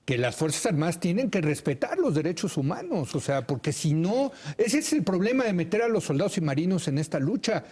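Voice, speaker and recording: A Mexican-accented man moderates a TV panel. His voice is fairly high and very lively, moving widely in pitch. He interjects often and presses his guests with questions. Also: fairly quick, few pauses, slightly rough. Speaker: TV interview host. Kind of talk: moderating a TV discussion.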